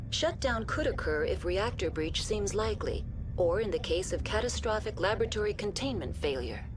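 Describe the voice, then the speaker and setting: A woman's voice: mid-range voice, close to an everyday voice. A woman voicing a polite, unemotional female computer intelligence in a video game, speaking in formal, procedural system announcements. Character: polite, unemotional female AI running a research station. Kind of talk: scripted video game dialogue.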